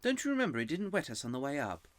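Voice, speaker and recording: A lifted, boyish voice, brisk and practical, that jumps up eagerly when he is surprised, as a man puts him on. He is a curious, plucky young boy in a storybook reading. The recording is dry and close. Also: on the high side, very animated, no echo, voice pushed up. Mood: matter-of-fact.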